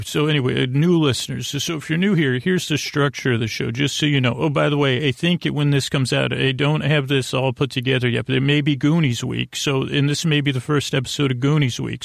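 A man with a creaky voice hosting a podcast in a soothing, long-winded bedtime monologue that meanders and interrupts itself. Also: mid-range voice, steady tone, fairly quick, few pauses, dry recording, clean studio sound. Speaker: podcast host.

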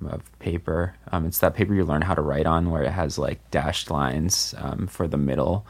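A man's voice: rumbling low, steady tone, moderate pace. A man hosting a podcast, chatting casually and rambling with lots of fillers and jokes. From Maryland, USA. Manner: rambling, filler-heavy, joking. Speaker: podcast host. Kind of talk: casual chat.

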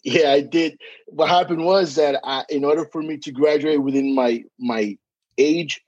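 A man's voice: fairly high pitch, fairly even intonation, normal speed, darkish tone, no echo. A Spanish-speaking man from the Dominican Republic, with a Dominican accent, talking as a podcast guest, hesitant with many fillers and restarts. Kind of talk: casual interview chat. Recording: dry, dull lo-fi sound.

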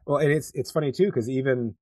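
A man's voice: mid-range voice, fast talker, darkish tone, dry recording, clean studio sound. A man co-hosting a casual chat, speaking in an informal, agreeable, easygoing way. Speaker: podcast co-host.

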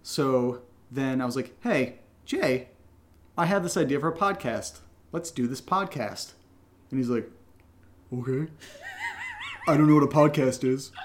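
A man's voice: medium pitch, measured pace, pauses often. A man with an American accent chatting casually as a podcast co-host, joking and self-deprecating. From Connecticut, US. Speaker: podcast co-host, audio drama creator. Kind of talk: casual Q&A chat.

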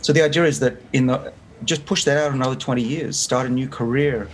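A man's voice: mid-range voice, brisk. Fifty-something Australian man, earnest explainer. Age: 50s. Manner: earnest, explanatory, frequent restarts. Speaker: guest researcher. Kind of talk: remote interview. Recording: faint background noise.

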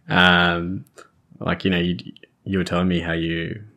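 A man's voice: low voice, takes it slowly, a bit bright. An Australian man hosting a podcast, chatting casually and rambling, often restarting and searching for words. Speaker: podcast host. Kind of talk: casual chat.

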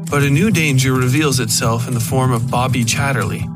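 A man's voice: mid-range voice, fairly quick, over loud music. A man hosting a fiction podcast, reading scripted narration. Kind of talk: scripted podcast recap and sponsor read.

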